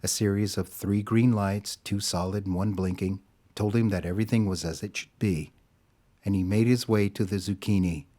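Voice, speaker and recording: Low-voiced male story narrator. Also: fairly even intonation, normal speed, dark tone.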